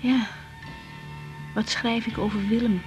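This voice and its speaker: A young woman doctor with a high-pitched voice and a Netherlands Dutch accent. She is insecure and emotional but speaks her mind, in the manner of 1980s radio drama. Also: fairly even intonation, slow pace, music in the background. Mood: tender, unsure. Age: young adult. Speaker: lead actress.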